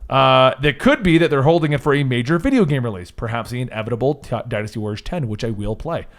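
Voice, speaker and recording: A man's voice: medium pitch, animated, fast talker. A man co-hosting a casual list discussion, reading items out and commenting in a jokey, self-correcting way. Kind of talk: casual chat with read-out news items.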